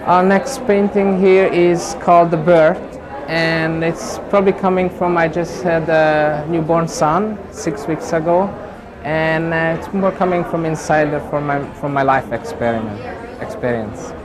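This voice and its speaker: A man's voice: a little high, steady tone, unhurried. A man from Hungary with a Hungarian accent, a painter explaining his work hesitantly, with many fillers as he searches for words. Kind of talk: interview about his paintings. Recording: loud crowd at an event.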